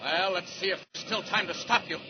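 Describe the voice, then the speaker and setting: A man's voice: high-pitched, brisk, close to an everyday voice. An actor playing a determined, heroic superhero in 1940s radio serial style, urgent and effortful as he talks himself through the action. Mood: urgent resolve. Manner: urgent, self-narrating, effortful. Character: determined heroic male superhero racing to save lives. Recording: muffled, noisy old radio recording.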